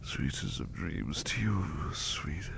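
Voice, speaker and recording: A man's voice: low voice, animated, slow, gravelly, full character voice. A man playing a sleepy, ailing butler. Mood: tender, drowsy. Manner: soft, whispery, affectionate, repeats phrases. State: sick and sleepy. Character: devoted, gentle male butler, ill in bed. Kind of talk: ASMR roleplay.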